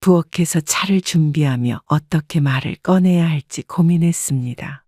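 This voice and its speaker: A woman narrating a first-person family story in a calm, measured manner. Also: low voice, no echo, clean studio sound.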